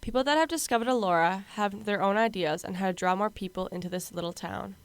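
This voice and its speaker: A woman reporter reading scripted news narration in an even way. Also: mid-range voice, fast, smooth.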